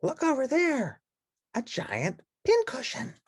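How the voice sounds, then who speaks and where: A big, gentle bird with a childlike heart, voiced by a woman for a children's storybook. The voice is raised and husky, curious and full of naive wonder. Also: high voice, huge pitch swings, takes it slowly, no room sound, clean studio sound, voice pushed up, full character voice. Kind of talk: children's storybook read-along character voice.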